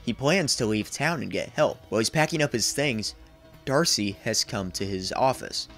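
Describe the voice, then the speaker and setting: A man's voice: medium pitch, lively intonation, moderate pace, fairly bright tone, faint music behind. A man in his twenties giving a scripted narration as a video essayist. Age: 20s. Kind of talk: scripted narration, horror series recap. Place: small indoor room.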